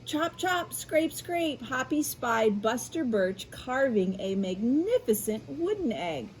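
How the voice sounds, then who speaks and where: An American woman reading a picture book aloud to children in an expressive way, adding explanatory asides. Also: fairly high pitch, a little hiss or hum, low-quality recording. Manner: animated, unhurried, addressing children. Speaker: school media specialist reading aloud.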